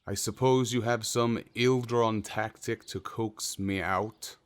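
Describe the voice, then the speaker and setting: Man playing a low-voiced, unruffled period gentleman. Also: steady tone, takes it slowly, clean tone. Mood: wry, composed. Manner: urbane, wry, self-assured. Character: cunning, unruffled wealthy merchant gentleman accused of witchcraft. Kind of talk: audio drama acting in period speech.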